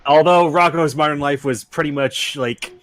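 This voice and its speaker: A man chatting casually as a co-reader, halting as he thinks and joking along. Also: fairly high pitch, a bit bright, slight background noise. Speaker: co-reader and commentator. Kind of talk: casual chat.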